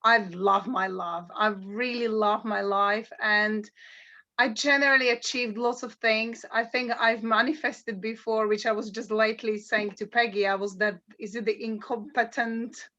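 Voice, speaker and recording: A woman's voice: a little high, fairly even intonation, unhurried, pushed and bright, lo-fi sound. A woman from Slovakia, a guest sharing her personal story on a call, earnest and searching for words.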